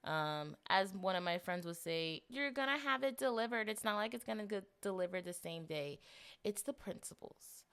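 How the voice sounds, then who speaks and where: A young woman in her twenties hosting a casual solo podcast, chatting and rambling with animated asides, a little congested. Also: mid-range voice, clear, resonant tone. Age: 20s. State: slight congestion. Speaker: podcast host.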